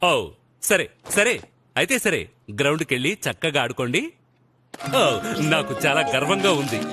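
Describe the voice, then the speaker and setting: A man voicing an excitable, gullible, doting father with a gushing manner in a dubbed children's cartoon. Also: a little high, lightly acted. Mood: proud. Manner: animated, gushing, quick to fuss. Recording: cartoon music behind.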